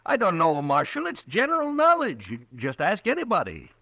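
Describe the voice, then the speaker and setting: A jittery, fussy storekeeper. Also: male voice, high voice, wildly swooping pitch, normal speed, creaky, sharp tone, no room sound, heavily acted. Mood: anxious. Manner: fussy, anxious, eager to please. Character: jittery small-town storekeeper, middle-aged man. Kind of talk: 1950s radio drama acting.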